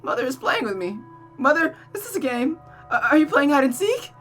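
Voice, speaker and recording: A woman's voice: high voice, big pitch swings, a little fast, a bit bright, faint music behind, voice pushed up. A woman voicing a spiteful, unhinged young woman killer from a visual novel, dramatic, pleading and tearful. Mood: unhinged, desperate.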